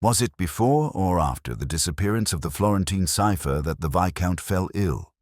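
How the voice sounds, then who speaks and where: A man voicing a calm, implacable detective in an audiobook mystery, with a clipped, authoritative and deliberate delivery. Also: deep voice, no room sound, clean studio sound. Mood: probing, clipped.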